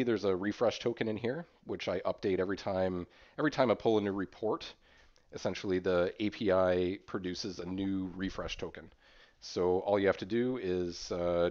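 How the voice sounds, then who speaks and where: A man presenting an informal screen-share software tutorial in a hesitant, explanatory way. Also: sits low. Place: voice-over recorded over screen capture. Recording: dull, muffled lo-fi audio.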